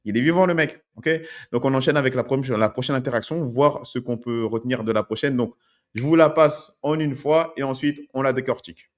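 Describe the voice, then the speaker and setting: Man coaching, conversational, mid-pitched. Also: a little fast. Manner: conversational, checks in with "ok?". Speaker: dating coach. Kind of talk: commenting on street-approach video clips.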